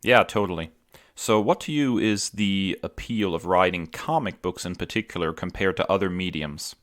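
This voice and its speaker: A man with a very low voice hosts a relaxed, conversational interview. He speaks in a measured, agreeable manner as he puts questions to his guest. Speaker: podcast interviewer and host. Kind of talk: casual interview.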